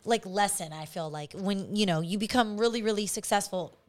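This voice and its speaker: A woman co-hosting a podcast, chatting in an animated, profane way and often cutting herself off. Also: medium pitch, a little fast. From Southern California. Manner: animated, profane, self-interrupting. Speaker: podcast co-host. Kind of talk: casual chat.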